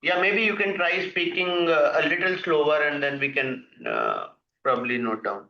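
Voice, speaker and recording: A man speaking as a company executive on an earnings call, giving halting but emphatic unscripted answers. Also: on the high side, big pitch swings, gravelly. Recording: echoey, muffled conference call audio.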